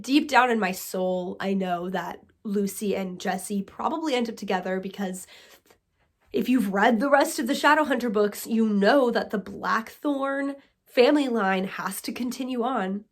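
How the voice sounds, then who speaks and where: A young woman chatting excitedly to camera as a book vlogger, sounding a little nasal from a cold. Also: on the high side, unhurried. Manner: excited, chatty, talks to viewers as friends. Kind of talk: casual chat to camera.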